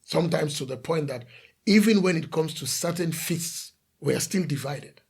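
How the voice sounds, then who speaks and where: Male pastor, from The Gambia, gravelly, raspy, emphatic sermon, rhetorical questions. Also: on the high side, moderate pace. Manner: emphatic preaching, rhetorical questions, lists of repeated phrases.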